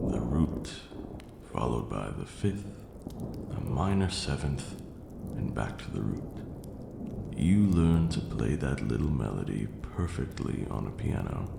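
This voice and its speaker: A man playing a stern, aloof vampire lord in an ASMR roleplay, speaking in a formal, haughty way with a gravelly, breathy near-whisper. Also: extremely low pitch, heavily acted. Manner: formal, haughty, slow scolding lecturing delivery. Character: stern aloof ancient male vampire lord, grudgingly caring. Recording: roomy echo, clear background noise.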